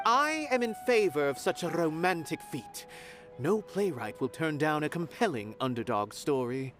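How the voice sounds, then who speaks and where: A romantic playwright. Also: male voice, fairly high pitch, lively intonation, moderate pace, fairly bright tone, faint music behind. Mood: enthusiastic. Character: romantic male playwright, theatrical.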